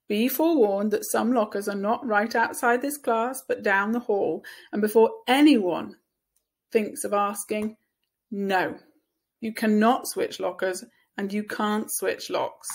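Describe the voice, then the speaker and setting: A woman reading a story and voicing a brisk, no-nonsense female schoolteacher who firmly instructs a class. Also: medium pitch. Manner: firm, instructing, loud classroom voice.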